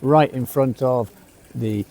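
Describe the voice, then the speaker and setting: A man's voice: mid-range voice, slow pace, mellow. A middle-aged man from England's West Midlands with a British English accent, an English teacher giving a casual spoken lesson on a café terrace, chatty with frequent uhs and asides.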